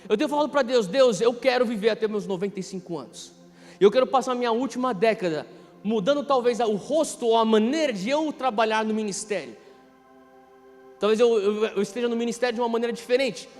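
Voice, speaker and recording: Male pastor, thirties, Brazilian accent, very high-pitched impassioned delivery with heavy emphasis, long hall reverb. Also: quick pace. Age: 30s. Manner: emphatic, repeating key phrases, swinging from hushed to loud. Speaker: pastor preaching. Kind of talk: impassioned sermon. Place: large reverberant church hall. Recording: reverberant hall PA, music underneath. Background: music.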